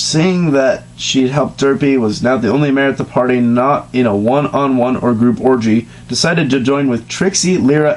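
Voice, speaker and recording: A young man reading a fan story aloud in a casual, deadpan way, dropping sarcastic asides and coughing now and then. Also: medium pitch, slight background noise, rough recording.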